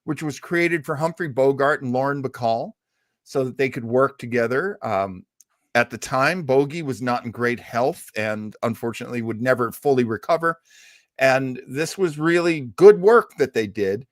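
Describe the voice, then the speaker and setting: A man's voice: mid-range voice, normal speed, no echo, studio quality. A man hosting a casual panel chat on a podcast. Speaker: podcast host.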